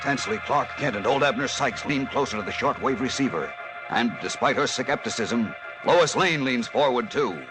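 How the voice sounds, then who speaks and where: A man's voice: medium pitch, moderate pace, music in the background, rough recording. An American man narrating a 1940s radio serial in a suspenseful, emphatic, theatrical style.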